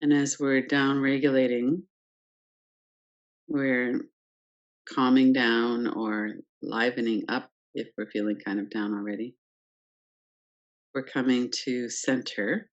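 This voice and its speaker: A woman teaching guided meditation, speaking calmly and gently in short phrases with pauses between them. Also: a bass voice, highs cut off. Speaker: yoga nidra meditation teacher. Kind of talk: guided meditation, unscripted instruction.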